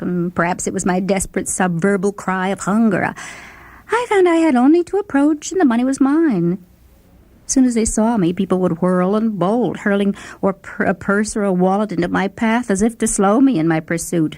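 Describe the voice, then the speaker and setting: A woman performing a short story as an obese, food-obsessed young woman and devoted radio fan, confiding and savoring her words with dramatic pauses. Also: mid-range voice, a little mellow, dry recording, close to an everyday voice. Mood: matter-of-fact, wry. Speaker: story reader. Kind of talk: dramatic first-person short story reading. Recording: music bed under the voice. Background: instrumental music with plucked guitar.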